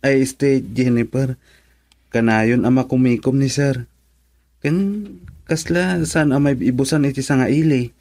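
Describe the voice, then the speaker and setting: An actor with a Filipino accent playing a polite, hesitant, bashful driver in a radio drama. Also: male voice, medium pitch, a little slow, long pauses, mellow, dry recording, lightly acted. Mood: nervous, deferential. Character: deferential, bashful male driver of a rich couple.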